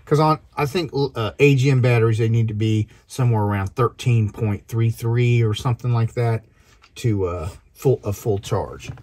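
A man's voice: mid-range voice, normal speed, a little mellow. A man in his fifties casually explaining boat chores, rambling with restarts, on a sailboat with engine and wind noise behind. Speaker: sailing vlogger. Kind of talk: casual how-to narration. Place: sailboat cabin, then open deck outdoors.

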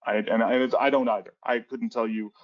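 A man's voice: mid-range voice, fairly even intonation, moderate pace. A man in his thirties presenting a cybersecurity webinar in a casual, rambling way, often restarting his sentences. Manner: rambling with frequent restarts and fillers. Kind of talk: casual conversational webinar talk. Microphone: headset on a video call. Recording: dull lo-fi webinar audio.

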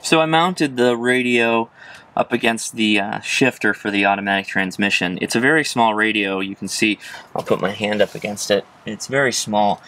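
Young man, American accent, fairly low pitch, informal how-to presenter, explanatory, casual walkthrough. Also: sharp tone. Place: in and beside a parked car outdoors.

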